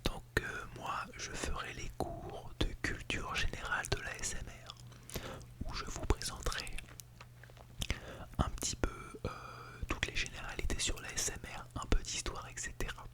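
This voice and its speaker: Breathy whisper, ASMR role-play teacher, soft instructive delivery, lightly playful, close binaural mic. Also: moderate pace. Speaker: ASMR artist role-playing as a teacher at an ASMR school. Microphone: close Blue Yeti microphone in binaural mode.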